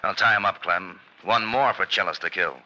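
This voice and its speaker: An actor playing a wary, scheming American outlaw boss in an old radio western. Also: male voice, deep voice, moderate pace, pushed and bright. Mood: menacing. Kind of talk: 1940s-50s radio western acting. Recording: muffled, noisy vintage radio recording.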